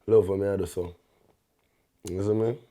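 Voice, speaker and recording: A young man with a Jamaican accent speaks in a very low, dark, mellow bass. He talks in a laid-back way, in patois, as a guest artist on an interview podcast, checking in with his listener at the end of his sentences. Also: steady tone, normal speed. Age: young adult. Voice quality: deep bass voice. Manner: laid-back, peppers talk with "you see me?".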